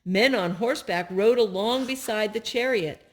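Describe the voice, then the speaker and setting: An older woman reading a children's story aloud as a storyteller, with simple, deliberate phrasing for young listeners. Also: medium pitch.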